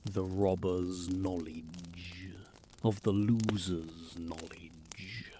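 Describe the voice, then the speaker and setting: A man playing a cool, analytical detective in an audio drama, speaking with deliberate precision. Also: low voice, fairly even intonation, background noise. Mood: coolly analytical.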